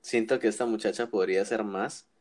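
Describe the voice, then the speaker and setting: A man's voice: on the low side, steady tone, fairly quick, darkish tone. A man co-hosting a casual wrestling chat, giving opinions with frequent restarts and tag questions. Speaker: wrestling podcast co-host.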